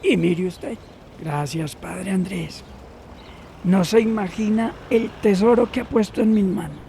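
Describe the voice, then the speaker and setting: A high, creaky-voiced gatekeeper, shady and mocking. He drawls slang slowly in a radio drama, over faint outdoor noise. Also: mellow. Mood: gloating, sly. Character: gruff, shady, mocking seminary gatekeeper, a man. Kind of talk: audio drama acting.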